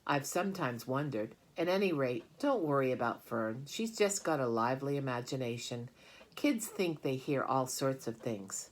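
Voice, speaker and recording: A woman's voice: very deep voice, lively intonation, normal speed. A woman voicing an easygoing, amused father in a children's storybook, mild and unworried. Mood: amused, reassuring.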